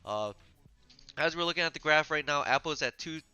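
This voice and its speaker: Bright, stuffy-nosed man explaining trades. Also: a little high, lively intonation, fairly quick, clean tone, dry recording, faint music behind. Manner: casual, filler-heavy explaining with frequent "uh". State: stuffy, a little sick. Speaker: trading channel host. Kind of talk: screen-share trading tutorial.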